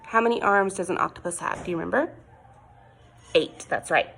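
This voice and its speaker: A woman teacher reading a picture book aloud to young children, expressive and sing-song, coaxing with questions and exclamations. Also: on the low side, brisk, gravelly, slight background noise, low-quality recording.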